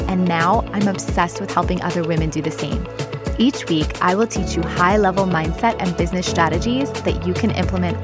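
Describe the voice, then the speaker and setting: A woman reading a polished, welcoming scripted intro to her podcast as its host. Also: on the low side. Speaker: podcast host and business coach.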